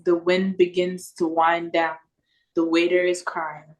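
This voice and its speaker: A woman's voice: on the low side, completely flat delivery, unhurried, poor audio. A woman reading scripted stage directions for an audio play over a video call.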